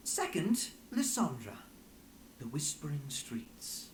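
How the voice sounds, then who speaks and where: Low-pitched, swooping, theatrical go-between. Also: female voice, wildly swooping pitch, slow, slight background noise, full character voice. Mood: sly, brisk. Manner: florid, archaic, pompous phrasing. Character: theatrical, sly go-between with florid manners. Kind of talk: theatrical video game voice acting.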